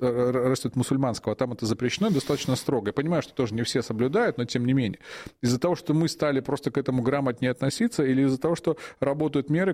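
A man's voice: medium pitch, a little mellow. A man co-hosting a live radio talk show, speaking conversationally with teasing, probing questions. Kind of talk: live discussion, conversational banter.

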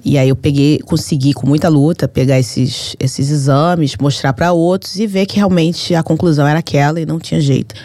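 Low, mellow Brazilian woman telling her story. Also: steady tone, brisk, few pauses, no echo, studio quality. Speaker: guest telling a personal story. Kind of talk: emotional personal storytelling.

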